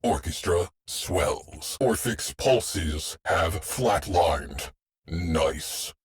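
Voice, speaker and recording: A man voicing a terse, dry shipboard AI tactician in a video game, speaking in clipped, deadpan military phrases. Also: a bass voice, extremely slow, exaggerated voice. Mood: deadpan, wry. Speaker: mission control voice. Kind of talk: scripted game voice lines.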